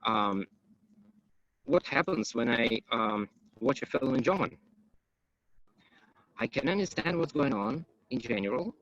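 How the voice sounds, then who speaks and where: A man giving a conference talk as an English teacher, pausing often with ums and uhs. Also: medium pitch, animated, fairly bright tone. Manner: hesitant, many ums and uhs. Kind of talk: conference presentation. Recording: dull, muffled, dry.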